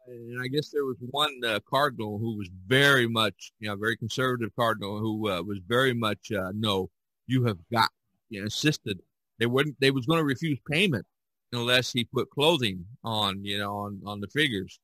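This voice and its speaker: Guy on a podcast, bright voice, rambly stop-start storytelling, really dry sound. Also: medium pitch, no room sound, rough recording. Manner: halting, repetitive storyteller. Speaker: podcast co-host. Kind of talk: casual art discussion.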